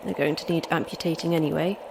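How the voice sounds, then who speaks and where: A woman's voice: low voice, fairly even intonation, fast, creaky, noisy background. An actress playing a tough, wry, injured woman scientist in an audio drama, muttering dryly to herself. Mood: strained, grim. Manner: dry, sardonic, muttering to herself. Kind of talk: audio drama acting, intimate monologue.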